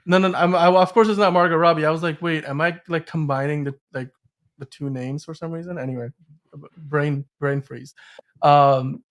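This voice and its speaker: A man co-hosting a podcast, talking about film and TV in a casual, enthusiastic, rambling way. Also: a little high. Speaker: podcast co-host.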